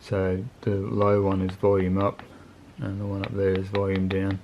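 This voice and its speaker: A man with a lowish voice talks through a piece of gear off the cuff. His delivery is very monotone and laid-back, with plain, colloquial phrasing. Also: normal speed, vocal fry, highs cut off, slight background noise. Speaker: motorcycle video channel host reviewing gear. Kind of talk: casual unscripted product review.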